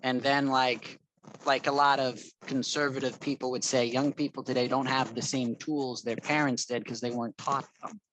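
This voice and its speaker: Medium-pitched man, rambling casual explainer. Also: steady tone, normal speed, a bit bright, slight background noise, low-quality recording. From Thailand. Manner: rambling, tentative, hedged explanations. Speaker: chat participant. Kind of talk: casual chat, explaining ideas.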